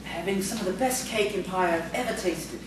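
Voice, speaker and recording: A woman's voice: on the low side, a little fast, lightly acted. A woman playing a polite, eager, bookish male schoolteacher in audio theatre, with a hesitant, formal manner. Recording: distant, very echoey, noisy stage recording.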